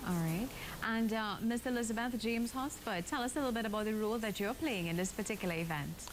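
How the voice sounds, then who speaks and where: A woman's voice: a little high, fast, rough recording. Woman hosting, noise behind her. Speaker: morning show host. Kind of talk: broadcast interview. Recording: clear background noise.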